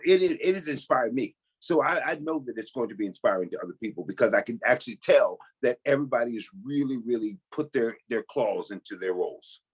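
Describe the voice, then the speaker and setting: A man's voice: medium pitch, slightly rough, low-quality recording. A man answering as an interview guest in a conversational, earnest and emphatic way, circling back with frequent "you know". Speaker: pastor and cast member, interview guest.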